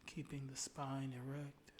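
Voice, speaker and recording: A man leading a guided meditation in a calm, soothing, unhurried way, with soft, breathy phrase endings. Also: mid-range voice, a little hiss or hum.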